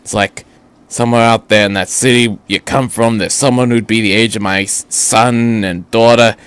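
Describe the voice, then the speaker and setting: Casting: man playing tired older labourer, humble and reflective, halting plain speech, audio story. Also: lowish voice, steady tone, a bit bright, clean tone, no echo, natural voice. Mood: weary, reflective. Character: gruff, weary older widowed Japanese factory worker.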